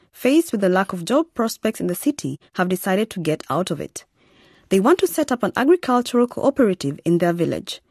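A female radio presenter delivers scripted narration in a husky, mid-pitched voice. Her intonation is very lively and the recording is dry and close. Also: dry recording.

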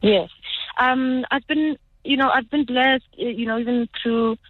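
A woman's voice: a little high, measured pace, no echo. South African woman, slightly muffled radio sound. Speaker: singer, interview guest. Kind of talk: casual radio interview. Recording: dull lo-fi radio feed.

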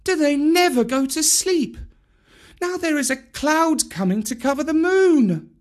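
Reader doing a worn out jungle kid, bewildered, high voice, slow and lilting. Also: expressive. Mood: weary. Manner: raised, wondering voice. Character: bewildered tired jungle boy. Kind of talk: audiobook character voice.

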